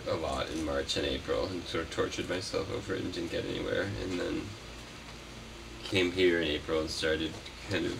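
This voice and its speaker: A man being interviewed as a puppeteer, chatting casually in a halting, rambling way that often trails off. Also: deep voice, steady tone, vocal fry. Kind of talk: casual unscripted interview chat. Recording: noisy, distant mic.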